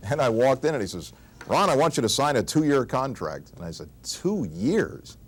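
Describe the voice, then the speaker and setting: A man's voice: mid-range voice, sing-song, moderate pace, husky. An older man, a veteran radio announcer, sharing casual anecdotes from his career in conversation.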